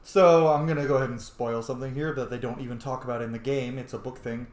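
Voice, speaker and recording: A man's voice: medium pitch, fairly even intonation, fast talker, darkish tone, reverberant. A man giving casual game commentary, musing aloud and talking back to the characters as he plays. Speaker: streamer playing a role-playing game.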